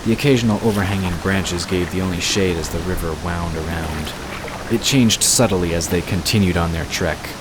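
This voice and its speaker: A man narrating a scripted fantasy story in a measured storytelling manner. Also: low-pitched. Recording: noisy, with water sound effects behind. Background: rushing water ambience.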